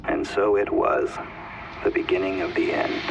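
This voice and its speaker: A man voicing a calm, measured companion in video game dialogue. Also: low voice, gravelly, muffled recording, very noisy.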